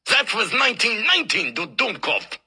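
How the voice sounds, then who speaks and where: Guy putting on a super old german professor, thick accent, pompous and barky, bright husky voice. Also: sits high, huge pitch swings, moderate pace, pitched above the performer's own voice, exaggerated voice. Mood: furious. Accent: thick German (put on). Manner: barking, thundering, pompous. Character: imperious German professor, man over a hundred.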